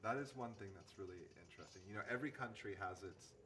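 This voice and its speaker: A man from California chatting casually on a charity gaming stream, relaxed and quick to laugh. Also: on the low side, a little hiss or hum. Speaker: marathon co-host. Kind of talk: casual chat.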